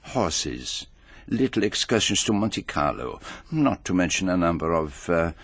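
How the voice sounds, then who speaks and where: An actor playing a fastidious, self-assured detective in a British radio drama, speaking with precise, deliberate, theatrical phrasing. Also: male voice, low voice, very animated, fairly bright tone, husky, no echo, heavily acted. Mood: measured, confiding. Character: fastidious, self-assured male foreign detective, courteous and methodical.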